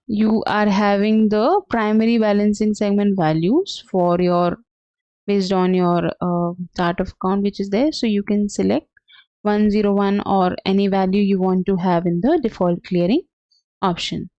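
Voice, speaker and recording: A woman trainer talks through a software tutorial on a dull, lo-fi recording with very little room sound. Her pitch is average for a woman, and her step-by-step explanation is unscripted and somewhat halting. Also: level tone, no echo. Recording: dull, lo-fi, dry close sound.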